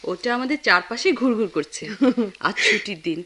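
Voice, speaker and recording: A woman's voice: mid-range voice, animated, brisk, sharp tone. An actress playing a breezy, welcoming young mother in a Bengali radio drama, teasing and familiar.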